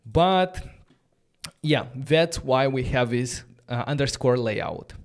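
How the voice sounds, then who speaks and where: A man whose first language is Romanian runs a live coding tutorial in a loose, chatty way. He thinks aloud, fills pauses with "uh" and "like", and breaks off now and then to answer the chat. His voice sits at a mid pitch. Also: animated. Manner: casual, thinking aloud with many fillers and restarts; reads and answers viewer chat questions. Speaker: coding instructor.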